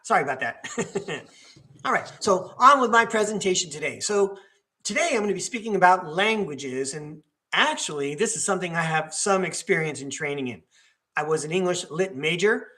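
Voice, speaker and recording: A man's voice: sits high, pushed and bright. An older man, hosting a podcast in a chatty, rambling way with many fillers and restarts. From New York, United States. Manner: digressive, chatty, many fillers and self-corrections. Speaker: podcast host. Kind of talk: rambling solo talk.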